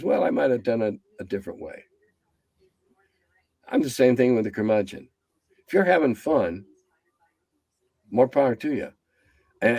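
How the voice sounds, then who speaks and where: Blunt, rambling man in his seventies. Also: dry recording. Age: 70s. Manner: blunt, rambling, drops low and quiet as he winds down. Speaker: guest, game designer. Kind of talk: casual interview chat.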